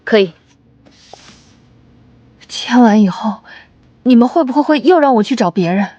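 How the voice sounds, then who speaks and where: A woman playing a wary, let-down client seeking a lawyer in an animated drama, guarded and direct. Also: a little high, moderate pace, no echo, highs cut off.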